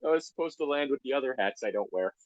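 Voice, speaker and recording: A man's voice: medium pitch, animated, quick pace, no room sound. A man chatting casually as a co-host, teasing and swearing lightly as he comments. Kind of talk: casual banter.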